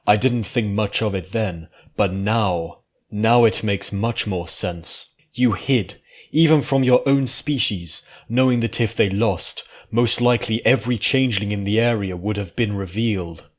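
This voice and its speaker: A man voicing a regal female pony princess with a measured, imperious and solemn manner in a fantasy story. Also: fairly low pitch. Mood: stern.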